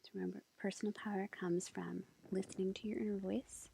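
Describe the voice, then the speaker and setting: Sweet young witch girlfriend, played by a woman for tarot ASMR: hushed, mellow, even whisper, gently reassuring, faint noise behind. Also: medium pitch, rough recording. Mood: gentle, reassuring. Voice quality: breathy, whispered. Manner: gentle, hesitant, reassuring, unhurried. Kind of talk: soft whispered ASMR roleplay. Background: faint ambient nature sounds.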